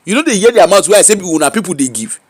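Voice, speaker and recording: A man's voice: high voice, sing-song, very fast, slightly rough, no echo. A man with a Nigerian accent giving casual, mocking commentary full of rhetorical questions. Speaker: social media commentator. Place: UK-based.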